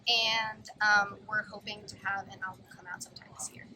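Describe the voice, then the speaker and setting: A woman's voice: mid-range voice, flat delivery, a little fast, very noisy. A woman in a band giving earnest answers during an outdoor festival interview, with frequent fillers. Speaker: band member. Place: outdoor music festival grounds.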